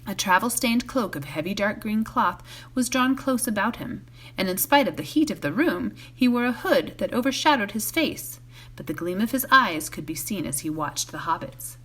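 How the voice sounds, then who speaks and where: A woman reading a storybook aloud as a volunteer, expressive, occasionally stumbling and correcting herself. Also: medium pitch, normal speed, husky. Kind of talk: read-aloud storybook narration.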